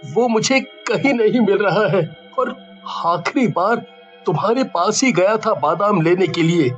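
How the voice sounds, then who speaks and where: A man's voice: high voice, lively intonation, measured pace, lo-fi sound, faint music behind. A man playing a worried, determined young brother in a cartoon horror story, earnest and dramatic. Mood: worried, pleading.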